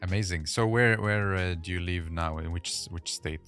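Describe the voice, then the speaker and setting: A man's voice: sits low, normal speed, fairly bright tone, smooth, faint music behind. A man hosting a podcast interview, casual and chatty, often saying "like" and "amazing". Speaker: podcast host.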